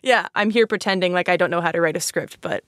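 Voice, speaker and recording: A woman's voice: lowish voice, quick pace, fairly bright tone, smooth, no room sound, clean studio sound. A woman hosting a podcast in a casual, chatty way, playful and self-deprecating, often saying "like". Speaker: podcast host.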